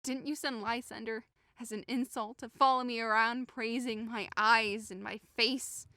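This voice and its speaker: A woman's voice: fairly high pitch, fairly even intonation, normal speed, bright, forceful tone, dry recording, clean studio sound. A woman playing a hurt, indignant young woman in a modern-English Shakespeare audio drama, impassioned, accusing and sarcastic. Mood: suspicious, accusing. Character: hurt, indignant young woman convinced she is being mocked.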